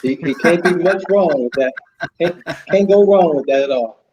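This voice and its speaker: A man's voice: a little high, moderate pace, a little mellow, a little hiss or hum, rough recording. A man co-hosting a casual live stream from a remote spot, easygoing. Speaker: co-host. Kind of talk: casual livestream chat.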